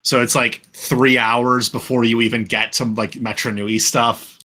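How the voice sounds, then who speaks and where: A man hosting a casual group podcast, chatting in a halting, rambling way and often correcting himself. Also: mid-range voice, flat delivery, pushed and bright. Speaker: podcast host.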